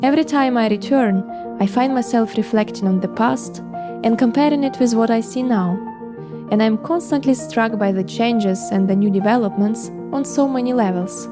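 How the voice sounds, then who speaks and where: A Ukrainian woman in her thirties, a podcast host reading a measured, reflective scripted narration with a Ukrainian accent. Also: a little high. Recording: music bed behind voice. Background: music.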